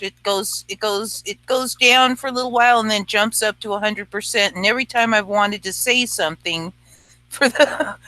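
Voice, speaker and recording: A woman's voice: mid-range voice, bright, forceful tone, no echo, low-quality recording. A woman guest on a casual livestream chat, making brief comments and laughing.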